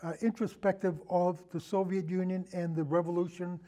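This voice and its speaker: Man, high-pitched voice, casual film talk, halting, anecdotal, rambling, repeats phrases. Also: little pitch movement, dark tone. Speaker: podcast guest, film discussion.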